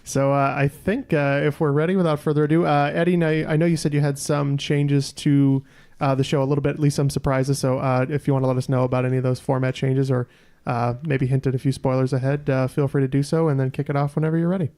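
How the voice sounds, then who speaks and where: A man co-hosting a quiz podcast, explaining his team's answers in a measured way with plenty of fillers. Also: mid-range voice, flat delivery, a little mellow. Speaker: podcast co-host and contestant. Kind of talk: casual podcast banter.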